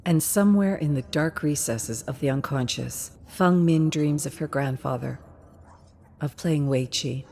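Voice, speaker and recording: A woman narrating a dramatic scripted story in a measured, hushed and intense manner. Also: deep voice, vocal fry, slight background noise.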